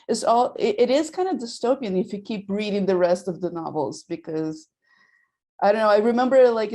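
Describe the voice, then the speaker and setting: Female audience questioner, mid-pitched, very soft-toned timbre, informal and tentative. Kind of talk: casual conversational question.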